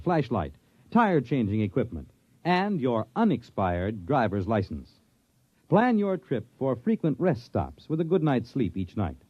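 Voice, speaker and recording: A man's voice: medium pitch, dry recording. A man reading scripted announcements in the formal style of a 1950s radio announcer. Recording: dull, lo-fi vintage radio recording.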